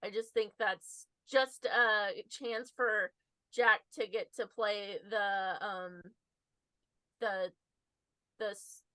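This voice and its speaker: A woman's voice: mid-range voice, fairly even intonation, drawn-out words, bright, forceful tone, dry recording, rough recording. A woman sharing her casual thoughts as a podcast panelist. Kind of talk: casual review chat.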